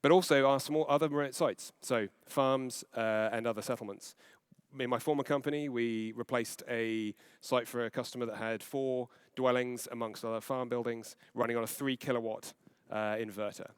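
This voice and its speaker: A man giving a conference presentation, earnest and steady with occasional fillers and self-corrections. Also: medium pitch, moderate pace, smooth, no echo, clean studio sound. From Falkland Islands. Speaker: conference panel speaker.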